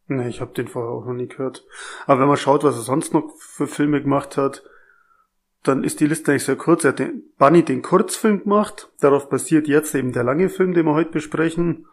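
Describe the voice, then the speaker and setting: A man co-hosting a horror movie podcast over a remote line, explaining things in a relaxed, easygoing way. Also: on the high side, brisk. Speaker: podcast co-host. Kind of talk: casual podcast chat.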